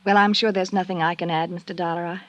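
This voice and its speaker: An actress playing a guarded, genteel widow with an American accent in a 1950s radio drama, speaking in a hesitant, defensive way. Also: female voice, on the low side, fairly even intonation, fast, no echo, lo-fi sound, close to an everyday voice. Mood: wary. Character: guarded, brittle young-sounding widow, defensive yet genteel.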